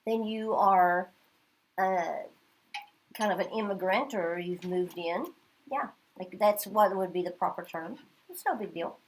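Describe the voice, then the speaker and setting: A woman's voice: mid-range voice, unhurried. A middle-aged woman from Oklahoma teaching a class, chatty and checking in with her students as she goes. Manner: chatty, checks in with "okay?" often.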